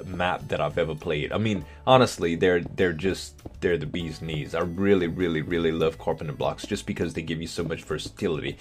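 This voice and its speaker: A young man with a deep voice, casually answering viewer questions for his gaming channel, relaxed and hesitant with many ums.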